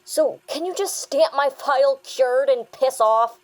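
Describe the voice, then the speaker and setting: An actress playing a defiant, exasperated young therapy patient in an audio drama, sarcastic and combative in manner. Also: female voice, high-pitched, unhurried, low-quality recording. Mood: sarcastic, fed up. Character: defiant, exasperated young female therapy patient insisting she was saved.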